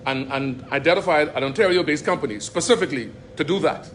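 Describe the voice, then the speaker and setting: A man's voice: on the high side, fast talker, a bit bright, a little hiss or hum. A man from the audience questioning a speaker at a public forum, insistent, repeating himself with many restarts. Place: public meeting hall.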